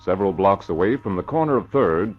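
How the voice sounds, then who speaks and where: A dull vintage radio recording of a male narrator with faint music behind. His voice is very low, telling a crime story in old-time scripted style. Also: expressive, fairly quick.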